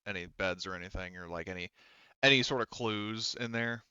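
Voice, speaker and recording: Bright, low-voiced man running a tabletop game. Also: brisk, clear, resonant tone, no room sound, muffled recording. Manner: hesitant, conversational, hedging. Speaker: game master. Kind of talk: improvised tabletop game narration.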